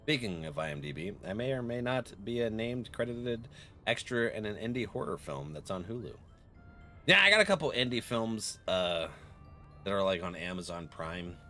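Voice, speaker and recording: Deep, animated man chatting on stream. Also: normal speed. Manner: animated, laughs often, easygoing. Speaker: game streamer and voice actor. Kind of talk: casual live-stream chat. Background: faint music.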